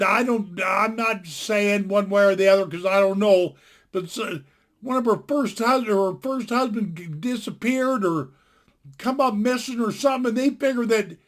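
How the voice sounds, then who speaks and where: A man in his sixties talking casually from his home into a desktop mic, giving a blunt, folksy, opinionated rant that rambles and restarts often. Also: high-pitched. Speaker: vlogger. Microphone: desktop computer mic.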